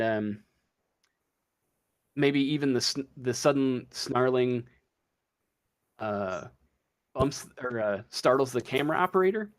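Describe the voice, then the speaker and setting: A man narrating a tabletop role-playing game as game master, improvising scenes in a halting, hesitant way. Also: mid-range voice. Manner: halting, hesitant, feeling for words.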